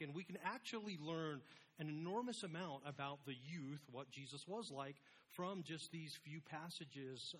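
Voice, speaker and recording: A man's voice: a little high, a bit bright, husky. A man preaching an informal, conversational sermon as a pastor, with a casual, self-deprecating manner.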